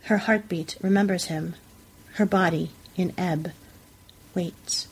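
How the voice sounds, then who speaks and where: A woman's voice: fairly low pitch, fairly even intonation, slow, a little mellow, slight background noise, rough recording. A woman reading a literary story aloud as a scripted narration for a fiction podcast.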